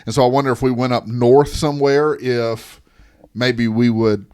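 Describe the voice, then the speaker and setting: A man's voice: mid-range voice, fairly even intonation, moderate pace, a little mellow, clean tone. Southern man co-hosting a podcast, rambling. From Southern United States. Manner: rambling, restarts sentences, teasing. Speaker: podcast co-host. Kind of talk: casual chat.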